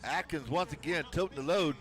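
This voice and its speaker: A man with a big, loud voice calling high school football play-by-play from a press box, mixing calls with folksy jokes. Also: brisk. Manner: folksy, joking banter between calls. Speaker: play-by-play announcer. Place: press box at outdoor stadium.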